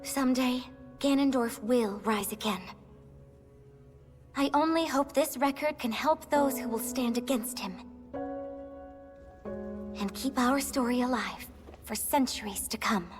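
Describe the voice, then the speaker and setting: A devoted retainer in a fantasy game, high-voiced and earnest. She speaks respectfully, with clear music underneath. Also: measured pace, long pauses. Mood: determined, wistful. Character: devoted earnest female mural maker, loyal to her lady. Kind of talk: scripted video game cutscene acting.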